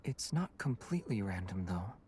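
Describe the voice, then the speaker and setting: An actor playing a calm, gentle young companion in a romance game, speaking softly and with measured composure. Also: male voice, deep voice, slight background noise. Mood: calm, thoughtful.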